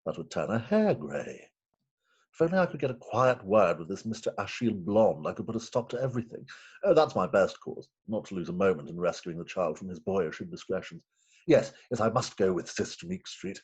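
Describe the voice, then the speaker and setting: A man's voice: on the low side, very animated, brisk, a little mellow, slightly rough, full character voice. A man playing a fussy, dithering middle-aged British magistrate and indulgent stepfather in a stage farce. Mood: flustered. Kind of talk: theatrical staged reading of Victorian farce. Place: home, recorded remotely.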